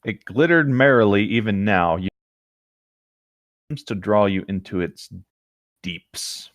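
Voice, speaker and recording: A man's voice: lowish voice, lively intonation, slow pace, a bit bright, dry recording, lo-fi sound. A man reading fan fiction aloud as a co-host, slipping into casual banter between passages.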